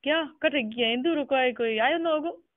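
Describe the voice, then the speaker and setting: A man's voice: very high-pitched, moderate pace, no echo. A man with a Rajasthani accent in a casual phone conversation, asking questions and repeating words back. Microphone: telephone. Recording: tinny, narrow phone call recording.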